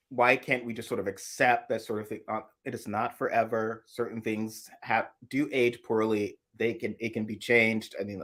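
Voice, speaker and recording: A man from the American South co-hosting a casual chat, thoughtful and halting, often restarting his sentences. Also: fairly low pitch, a bit bright. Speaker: co-host.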